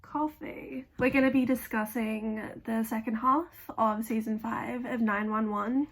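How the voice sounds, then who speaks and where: A young woman with a gravelly voice, still hoarse after an illness, giving blunt, chatty fan commentary with lots of fillers. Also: a little high, fairly even intonation, measured pace. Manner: chatty, blunt, swears casually, many fillers. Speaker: video essayist.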